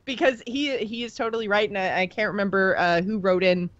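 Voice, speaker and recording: A woman's voice: medium pitch, fairly even intonation, brisk, fairly bright tone, no echo, dull audio. A woman co-hosting a casual gaming podcast, talking in a chatty way and explaining her points at length. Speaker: podcast co-host.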